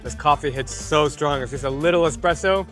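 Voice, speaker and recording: A man's voice: fairly high pitch, a little fast, fairly bright tone, faint music behind. Upbeat American man vlogging. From United States. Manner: enthusiastic, upbeat. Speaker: travel vlogger host. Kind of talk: casual vlog narration. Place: outdoors in old town streets.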